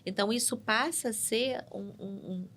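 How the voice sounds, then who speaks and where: A woman psychologist, explaining ideas in a measured way on a studio podcast and often checking in with "né?". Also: fairly high pitch, a bit bright. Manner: measured and explanatory, tags points with "né?". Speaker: psychologist guest. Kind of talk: explanatory interview talk.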